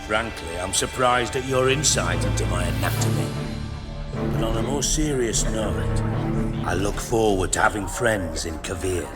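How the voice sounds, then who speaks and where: A scheming middle-aged male spymaster from a fantasy game, played by a man. He is sardonic and blunt, dressing jibes in mock courtesy, in a lowish voice. A clear musical backing runs behind him. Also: normal speed, loud music behind the voice, natural voice. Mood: wry. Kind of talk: video game voice acting.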